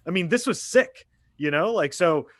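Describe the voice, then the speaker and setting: A man in his forties talking on a podcast, halting and full of fillers like "you know" and "okay?". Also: high voice, lively intonation, no echo, studio quality. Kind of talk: casual podcast discussion.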